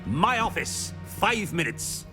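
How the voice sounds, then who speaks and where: An actor playing a haughty, irritable older headmaster in a video game, with an imperious, curt and scornful manner. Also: male voice, high-pitched, sing-song, slow pace, exaggerated voice. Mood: imperious, irritated. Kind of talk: video game cutscene acting. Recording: music behind the voice.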